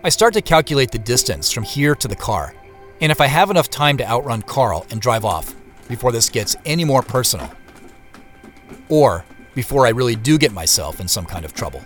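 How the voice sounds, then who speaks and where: An actor plays a man on a secret treasure hunt, telling it as it happens. His mid-pitched voice swings expressively as he confides his unease. It is audio drama narration, with faint music underneath. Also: very animated, a little fast, natural voice. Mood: uneasy. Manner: confiding, present-tense storytelling. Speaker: narrator and main character.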